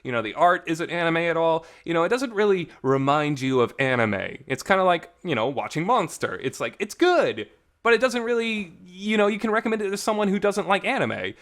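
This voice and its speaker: A man's voice: sits high, sing-song, a little fast, fairly bright tone. A man hosting a casual, rambling review, enthusiastic and emphatic, often restarting his sentences, in a reverberant homemade recording. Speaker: host reviewing visual novels.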